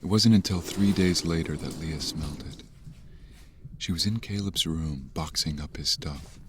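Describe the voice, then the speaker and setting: An actor narrating a dark horror audio drama in a measured, ominous, grave manner. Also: male voice, extremely low pitch, noisy background.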